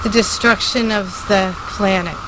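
A woman giving a solemn, measured reading of a ceremonial statement. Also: steady tone. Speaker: reader of a conference statement. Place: gathering at a hermitage. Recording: clear ambient rumble and noise.

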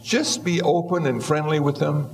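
Older man, 70s, Buddhist teacher; relaxed, self-mocking delivery, slightly higher-than-average voice. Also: normal speed. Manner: easygoing, wry, acts out little voices. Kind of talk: humorous dharma talk.

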